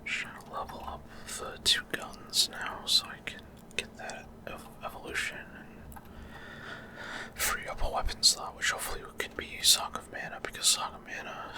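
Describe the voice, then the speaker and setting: A man doing ASMR gameplay commentary in a rambling, hesitant way, often trailing off mid-thought. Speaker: gaming ASMR creator.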